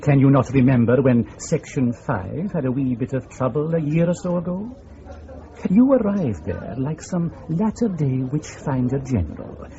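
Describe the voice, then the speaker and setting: An actor playing a blunt, wry, middle-aged Scottish intelligence section leader in a radio drama, speaking in a dry, pointed way. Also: male voice, medium pitch, animated, normal speed, mellow, highs cut off, lightly acted. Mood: accusing.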